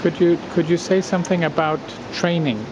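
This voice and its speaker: A man's voice: on the high side, normal speed. A man interviewing formally, careful and hesitant as he rephrases his questions. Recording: clear background hum and noise.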